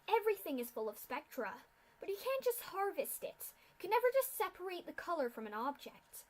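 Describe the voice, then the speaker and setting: A woman voicing a cold, masked female pegasus official who lectures loudly in a storybook reading. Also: very high-pitched, very animated, fairly quick, pitched above the performer's own voice. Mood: lecturing. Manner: lecturing, loud.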